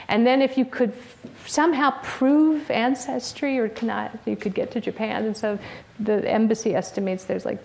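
A woman's voice: medium pitch, creaky, darkish tone, a little hiss or hum. A woman giving an academic talk to an audience in a conversational way, with frequent fillers and restarts. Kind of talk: academic lecture with audience questions. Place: lecture room with audience.

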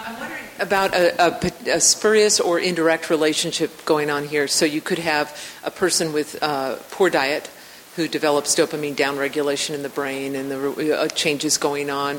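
A woman's voice: low-pitched, a little hiss or hum. A woman in the audience of a lecture asking a thoughtful question, searching for words as she goes. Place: lecture hall. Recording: reverberant hall.

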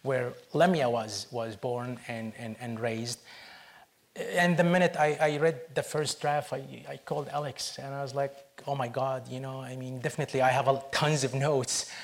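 A man speaks as a film producer at an interview. His voice is gravelly and sits at a middle pitch. He talks earnestly, restarting sentences and filling pauses as he goes. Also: steady tone. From Syria. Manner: halting, many restarts and fillers, earnest. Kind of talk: panel interview answer.

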